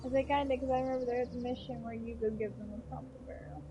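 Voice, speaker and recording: A woman's voice: fairly high pitch, steady tone, very fast, highs cut off. A woman streaming a video game from her kitchen on a headset mic, chatting casually and echoing the characters' lines. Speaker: gaming streamer. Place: home kitchen. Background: game music.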